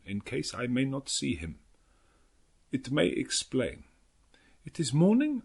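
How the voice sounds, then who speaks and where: Earnest old professor, swooping intonation. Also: male voice, mid-range voice, darkish tone, breathy edge, heavily acted. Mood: grave, concerned. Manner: halting, non-native English phrasing, deliberate and dramatic. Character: elderly foreign professor, earnest, grave, devout vampire hunter. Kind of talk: dramatic audiobook narration of a journal.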